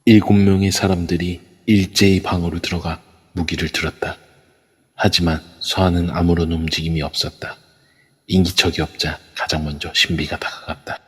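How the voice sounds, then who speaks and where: A man narrating an amateur audio drama in a steady storytelling manner. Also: deep voice, moderate pace, husky. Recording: long echoey reverb.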